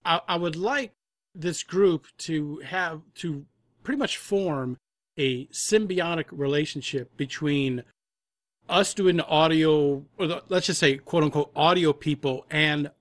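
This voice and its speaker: Male podcast guest, fairly high voice, dry sound, elaborates and corrects himself in casual conversation. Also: measured pace, no echo. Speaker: audio drama producer, podcast guest. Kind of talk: casual interview chat.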